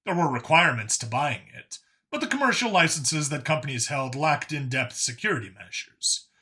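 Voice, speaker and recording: A man's voice: a little high, lively intonation, normal speed, bright, forceful tone. A man reading a scripted story as an audiobook narrator.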